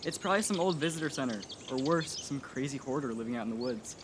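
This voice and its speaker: A young man playing a sarcastic, nervy high schooler in an audio drama, snappy and sceptical. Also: animated, quick pace, lightly acted. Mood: sarcastic, impatient. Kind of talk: naturalistic audio drama acting. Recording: noisy outdoor recording with insects. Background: crickets, forest ambience.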